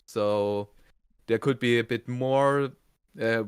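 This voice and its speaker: A man co-hosting a casual review, explaining things in a halting, conversational way. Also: medium pitch, fairly even intonation, smooth, no room sound, studio quality. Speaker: review show co-host.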